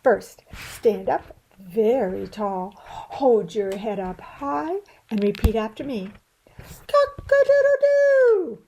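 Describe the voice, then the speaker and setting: A woman's voice: fairly high pitch, very animated, slow pace, warm tone, lo-fi sound, slight background noise, heavily acted. A woman voicing a helpful, confident rooster who teaches in an encouraging way in a children's storybook. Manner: encouraging, instructive.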